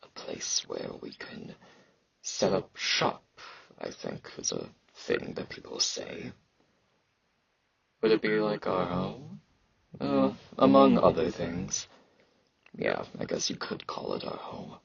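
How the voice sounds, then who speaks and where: Guy doing a super croaky fantasy knight asmr, awkward n blunt, slow halting talk but pitch moves around, bit dull sounding mic. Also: huge pitch swings, exaggerated voice. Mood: resigned, then dry, reluctant. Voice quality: low gravelly husky voice; sibilant S sounds. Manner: halting, hesitant, dryly dismissive. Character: blunt, awkward male fantasy knight, enhanced warrior soldier. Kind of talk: intimate ASMR roleplay monologue.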